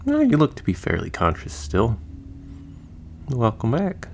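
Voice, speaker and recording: A man's voice: medium pitch, huge pitch swings, unhurried, slight background noise. A man playing a chatty, easygoing surgeon who patches up the undead, explaining casually as he works in a listener roleplay.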